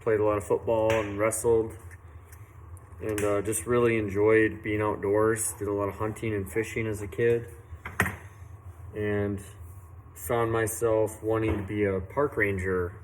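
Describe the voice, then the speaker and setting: A young man from western Illinois who owns a tree service tells how he started, in an unhurried, earnest way with many fillers and restarts. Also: on the low side, level tone, a little hiss or hum. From western Illinois, USA. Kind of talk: casual personal story to camera. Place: outdoors at a work site, doing saw maintenance.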